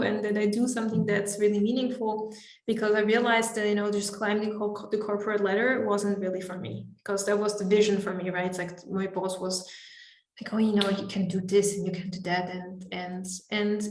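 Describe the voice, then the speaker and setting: Hesitant woman guest in an echoey room. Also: mid-range voice. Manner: reflective, hesitant with many restarts. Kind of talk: candid interview storytelling. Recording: roomy echo.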